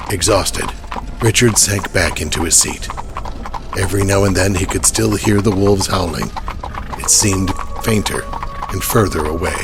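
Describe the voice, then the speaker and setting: A man's voice: low-pitched, background noise. A man narrating a horror audio drama in a dramatic, scripted storytelling style.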